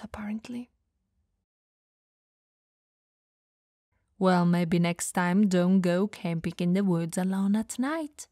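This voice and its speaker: A woman playing an elegant, dominant vampire lady who teases flirtatiously yet caringly in an ASMR roleplay, softly and breathily. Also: medium pitch, no echo, studio quality. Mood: grudging, firm. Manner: soft, breathy, slow teasing delivery, often dropping to whispers.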